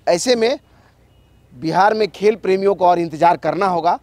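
High-voiced man giving a site report. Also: normal speed, a little mellow, no echo. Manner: conversational, repeats points, frequent restarts. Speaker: local video reporter.

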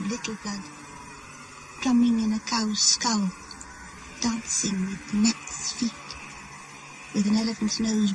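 An actress performing a slow, hushed incantation in a 1980s radio play. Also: female voice, medium pitch, natural voice, poor audio. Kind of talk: 1980s radio drama acting.

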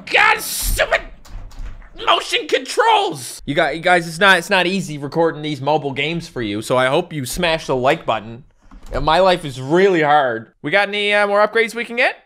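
Brief: young male gaming host, animated, slightly high voice, excitable and jokey, recorded at a home desk. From Newfoundland, Canada. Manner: excitable, yelps and jokes at the game. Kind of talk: casual let's-play commentary.

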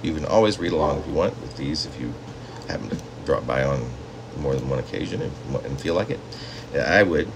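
Guy in his 50s, deep voice that swings around a lot, conversational poet at home, ac hum behind him. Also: lively intonation, fairly quick, gravelly. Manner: conversational, rambling, with asides. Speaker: poet reading own work. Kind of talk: casual talk and poetry reading to camera. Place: small indoor home room.

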